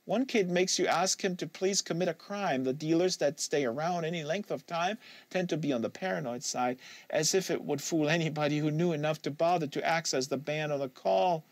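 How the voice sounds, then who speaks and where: A man voicing a depressed, anxious young woman confessing haltingly, as an audiobook character. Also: on the high side, no room sound. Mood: rambling, agitated. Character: depressed anxious young woman, pot-addicted psychiatric patient.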